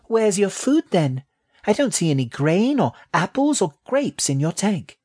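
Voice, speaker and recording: Storybook baby rat, female, man performing: very high, thin, cheeky and proud, full of indignant questions. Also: very animated, a little slow, a little mellow, exaggerated voice. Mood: pert, challenging. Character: tiny young female baby rat, feisty, proud, inquisitive. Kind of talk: children's audiobook character.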